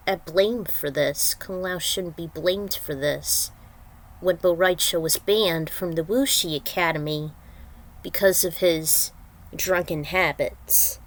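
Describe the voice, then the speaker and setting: Slow-paced woman reading a story. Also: on the low side. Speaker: fan fiction author and reader. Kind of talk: reading fan fiction aloud with casual recaps.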